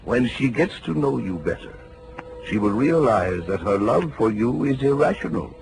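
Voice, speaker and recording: An actor playing a self-assured, analytical psychiatrist in a 1940s radio drama, speaking in a clinical, pedantic way. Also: male voice, mid-range voice, expressive, moderate pace, vocal fry. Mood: pedantic, calm. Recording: noisy old 1940s radio recording.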